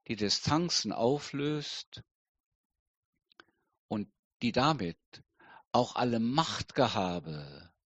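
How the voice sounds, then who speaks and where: A middle-aged man preaching a sermon slowly and deliberately, with emphatic pauses. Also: medium pitch, a bit bright, dry recording, dull audio. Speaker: pastor.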